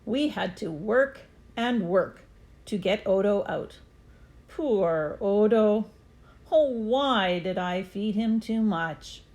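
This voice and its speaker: A woman reading a children's story aloud expressively, with deliberate, dramatic emphasis. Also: mid-range voice, lively intonation, a little mellow. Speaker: storybook reader.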